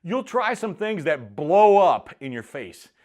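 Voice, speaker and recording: A man's voice: high voice, sing-song, a little slow, darkish tone. A middle-aged man giving a motivational monologue as a leadership coach, speaking in a punchy, emphatic, challenging way. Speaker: leadership coach and show host.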